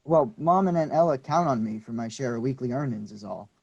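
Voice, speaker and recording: A man's voice: medium pitch, expressive, brisk, vocal fry, a little mellow, breathy edge, highs cut off. A man reading the part of a polite, earnest teenage nephew, a little unsure of himself. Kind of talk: staged play reading.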